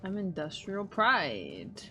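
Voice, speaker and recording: A woman's voice: on the low side, huge pitch swings, takes it slowly, bright, forceful tone. Slangy woman streamer over game music. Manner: slangy, profane, playful banter. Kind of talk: casual live reaction chat with viewers. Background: video game music.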